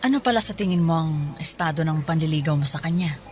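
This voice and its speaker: A woman's voice: low-pitched, expressive, quick pace, a little mellow, soft background music. An actress playing a caring middle-aged mother chatting with her grown son in a radio drama. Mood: motherly.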